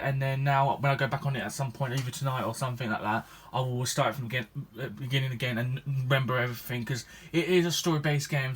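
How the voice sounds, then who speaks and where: A 21-year-old man talks casually to his gaming channel's viewers. His voice sits at an ordinary mid pitch, and he rambles with lots of ums and false starts. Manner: rambling, hesitant, many restarts and ums. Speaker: gaming YouTuber. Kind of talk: casual vlog chat to viewers.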